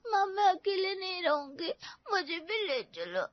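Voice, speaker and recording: A woman's voice: extremely high pitch, sing-song, a little slow, no echo, lo-fi sound, pitched above the performer's own voice, full character voice. A woman voicing a sweet, anxious little girl with a small, innocent, pleading voice in a story. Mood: tearful, pleading. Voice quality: small childlike voice.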